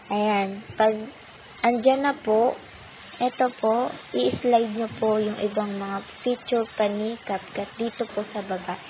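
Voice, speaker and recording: A woman speaking with a Filipino accent, walking viewers through a phone app tutorial step by step in a polite, patient way. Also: medium pitch. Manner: polite, patient, uses many "po" courtesy markers. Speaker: tutorial channel host. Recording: tinny phone-like audio with faint noise.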